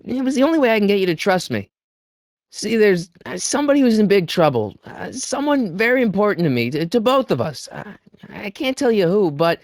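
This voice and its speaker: Game role: earnest, excitable teen boy adventurer, very lively pitch movement, flustered stammer, dry studio sound. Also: no echo. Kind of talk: video game voice acting.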